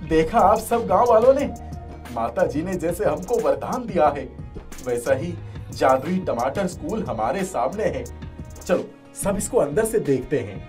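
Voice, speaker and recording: A man's voice: a little high, big pitch swings, a little slow, darkish tone, soft background music. A man playing an earnest, respectful villager in a cartoon moral story, speaking politely and formally. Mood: proud.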